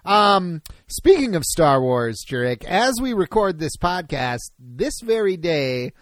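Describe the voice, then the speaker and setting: A man co-hosting a podcast in casual banter, excitable and quick to laugh. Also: on the high side, no echo, clean studio sound. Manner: excitable, laughs often, animated. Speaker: podcast co-host.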